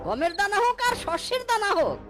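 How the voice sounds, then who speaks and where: A woman's voice: extremely high pitch, wildly swooping pitch, quick pace, very noisy, heavily acted. A woman voicing a foolish, eager, henpecked husband in a cartoon, with a naive delivery. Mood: eager, pleased. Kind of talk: cartoon character acting.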